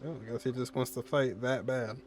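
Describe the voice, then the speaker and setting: A man's voice: medium pitch, steady tone, fairly quick, a little mellow. A man giving casual, slangy, offhand commentary as he plays a video game. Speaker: gamer, video creator. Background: game music.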